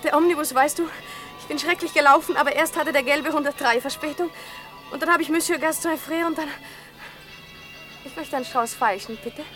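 An actress playing a lively, wheedling young sweetheart in a 1960s German radio play, with a girlish, chattering manner. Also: sits high, a little fast. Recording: 1960s radio recording, music behind.

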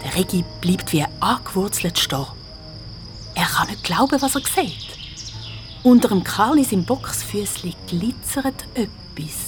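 A woman's voice: mid-range voice, expressive, measured pace. Swiss German woman narrating a children's tale. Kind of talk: vivid storytelling for a children's audio drama. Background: music.

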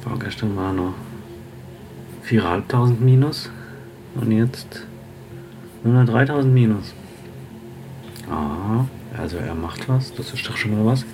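A man's voice: on the low side, unhurried, long pauses, noisy background. A man talking casually to himself in short, clipped remarks. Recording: music behind the voice. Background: music.

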